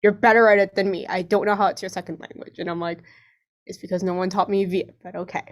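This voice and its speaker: A young woman from Vietnam, speaking as a panelist in an online discussion, articulate and expressive with a varied tone. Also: medium pitch, fast talker. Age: young adult. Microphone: video call.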